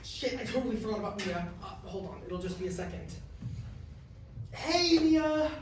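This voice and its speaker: A man's voice: high-pitched, sing-song, moderate pace. A young man acting an awkward, self-blaming college student in a staged reading, speaking casually and hesitantly. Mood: flustered. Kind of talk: staged musical reading, naturalistic dialogue. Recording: very echoey distant room, noisy.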